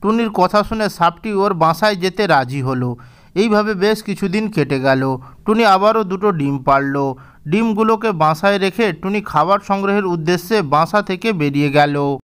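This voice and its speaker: A man narrating a cartoon fairy tale in a measured, gently didactic storybook manner. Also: high-pitched, lively intonation, smooth.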